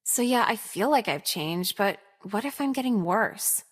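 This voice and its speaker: A young woman with an American accent playing a cynical, self-absorbed high-school girl in a visual novel, talking in a casual, slangy, sardonic way. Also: expressive, a little slow. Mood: sardonic, reflective. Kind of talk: visual novel voice acting, first-person monologue.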